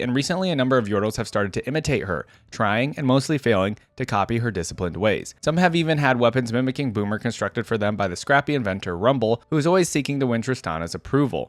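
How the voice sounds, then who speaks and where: Fast-talking man hosting a reaction video. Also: mid-range voice, dry recording. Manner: chatty, thinks aloud with asides. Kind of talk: casual reaction commentary mixed with reading aloud.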